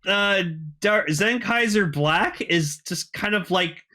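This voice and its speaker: A male podcast host with a high, bright voice. He chats casually and eagerly, rambling through his recap with plenty of filler "likes". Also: takes it slowly. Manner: enthusiastic, rambling, peppered with "like". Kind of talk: casual recap chat about a TV show.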